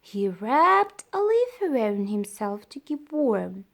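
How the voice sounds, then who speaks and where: A woman reading a children's story aloud as storyteller, with deliberate, dramatised phrasing. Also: fairly high pitch, very animated, creaky.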